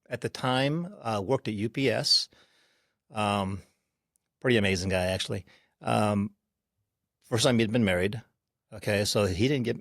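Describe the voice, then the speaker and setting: A man in his sixties, a podcast guest, recalling his childhood in an unhurried way with frequent pauses. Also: mid-range voice, no room sound, clean studio sound. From Korea. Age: 60s. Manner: unhurried, pausing with ums while recalling. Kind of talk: storytelling interview.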